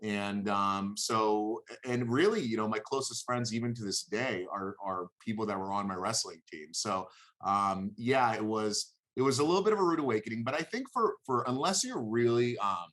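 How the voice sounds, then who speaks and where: A man in his thirties whose first language is Hebrew, answering interview questions in a halting, conversational way, repeating words as he thinks aloud. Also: fairly low pitch, lively intonation, a bit bright. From born in Israel, lived there until seven. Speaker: interview guest, former college wrestler.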